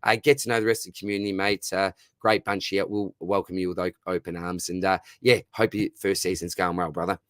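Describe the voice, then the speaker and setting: A man's voice: low voice, level tone, fairly quick, few pauses, fairly bright tone, no room sound. An Australian man with a dry, slightly worn throat, hosting a casual live Q&A and reading out viewer questions in a chatty, matey way. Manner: matey and chatty, lots of "mate" and "brother", reads out questions. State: dry throat, losing his voice. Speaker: fantasy football streamer answering viewer questions.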